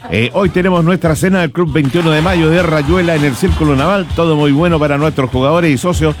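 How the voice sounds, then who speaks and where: A man's voice: fairly high pitch, brisk. A man with a Chilean accent, a radio host chatting casually and humorously in a rambling, colloquial way. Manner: rambling, jokey, colloquial, chuckles often. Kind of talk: casual humorous chat, anecdotes and listener greetings. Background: music.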